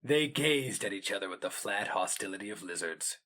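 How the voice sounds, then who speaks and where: A man narrating a fantasy story as a dramatic reading, solemn, deliberate and theatrical. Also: fairly low pitch, expressive, sharp tone.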